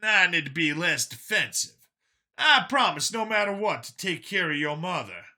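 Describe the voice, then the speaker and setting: A man voicing an eager, defensive male soldier type in an audiobook, talking in an excitable, emphatic way. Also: high voice, normal speed, exaggerated voice. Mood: defensive, earnest. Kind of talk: audiobook character dialogue.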